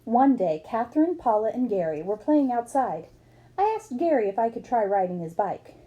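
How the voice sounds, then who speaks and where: A woman's voice: on the high side, very animated, normal speed, warm tone, lo-fi sound. A woman reading a book aloud expressively, as for children, acting up the dialogue. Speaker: book reader.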